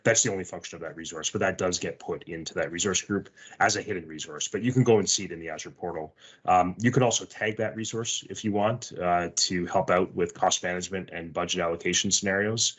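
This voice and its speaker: A man with a low-pitched voice answers a technical question as a product presenter. He explains things in a measured, matter-of-fact manner.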